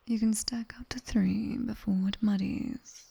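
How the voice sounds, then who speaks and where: A British woman playing a sharp-tongued nightmare demoness tutor in an ASMR roleplay, in a breathy whisper, teasing and strict. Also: medium pitch, fairly even intonation, a little slow, heavily acted. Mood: hushed, instructing. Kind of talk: whispered ASMR roleplay, slow guided instruction. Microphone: close binaural microphone.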